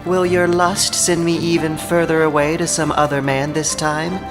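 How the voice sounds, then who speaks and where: A man's voice: fairly high pitch, little pitch movement, normal speed, over music, voice pushed up, natural voice. A man voicing a proud, bitter, beautiful woman of ancient legend, speaking with careful scorn and reproach in an epic audio drama. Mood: angry, guarded.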